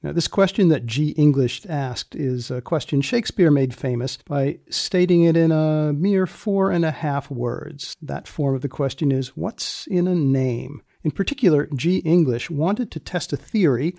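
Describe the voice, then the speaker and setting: A man hosts a podcast in a creaky, dark-toned voice that sits a little high for a man. He switches between measured scripted narration and interview questions, with a dry, teasing delivery. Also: dry recording. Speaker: podcast host.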